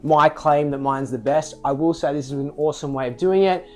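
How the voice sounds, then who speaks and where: A man's voice: a little high, fairly quick, a little mellow, soft background music. Upbeat Australian man cooking. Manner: upbeat, enthusiastic, playful. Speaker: chef and restaurant co-founder. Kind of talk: cooking demonstration chat. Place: private restaurant kitchen.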